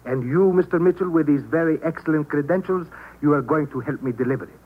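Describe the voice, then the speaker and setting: An actor playing a polite, menacing saboteur in 1950s radio thriller style, speaking formally and precisely with cool threat. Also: male voice, on the high side, fast, breathy edge. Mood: smooth menace. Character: polite, menacing male saboteur with a briefcase bomb. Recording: dull, noisy old radio recording.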